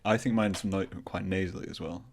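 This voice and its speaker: A man's voice: low-pitched, steady tone, a little fast, vocal fry, slight background noise. A man hosting his podcast, chatting casually with an old friend in a laid-back, teasing way. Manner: laid-back, jokey, trails off into laughs. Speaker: podcast host. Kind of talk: casual chat.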